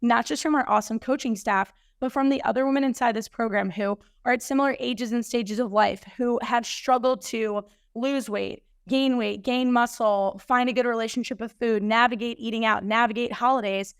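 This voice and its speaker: A woman hosting a fitness podcast, slightly hoarse, chatting upbeat about coming news. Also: a little high, steady tone, moderate pace, few pauses, no echo, clean studio sound. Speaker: podcast host and fitness coach. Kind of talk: casual solo podcast intro.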